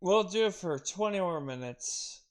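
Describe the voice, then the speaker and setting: A man's voice: high voice, animated, moderate pace. A man speaking casually and informally as an amateur voice actor between read lines.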